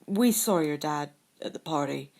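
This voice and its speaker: A woman's voice: low voice, lively intonation, moderate pace, gravelly, darkish tone, heavily acted. Female reader as unflappable young schoolboy, wry, thoughtful, teasing, storybook character. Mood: dramatic.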